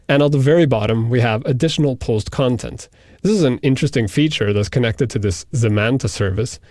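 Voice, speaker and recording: Male software tutorial instructor, Norwegian native speaker, methodical step-by-step explainer, mid pitch, dry close sound. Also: no echo. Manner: explanatory, walks through settings step by step. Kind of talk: screen-recorded software tutorial.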